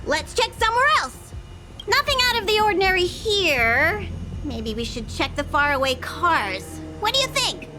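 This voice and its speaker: A tiny game creature, bossy and excitable, with an extremely high, swooping voice. It plays a fussy little train conductor, with music behind it. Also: female voice, moderate pace. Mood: focused, bossy. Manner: bossy, refers to self by name. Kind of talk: video game voice acting.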